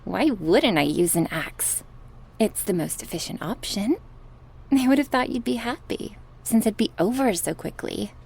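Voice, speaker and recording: A woman's voice: medium pitch, animated, gravelly, a little mellow, slightly rough, exaggerated voice. A woman playing a cruel, sadistic goddess who taunts sarcastically in a horror ASMR roleplay. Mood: taunting. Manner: taunting, sarcastic, slow and drawn out with sighs. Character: sadistic goddess, mocking, cruel, playfully menacing captor.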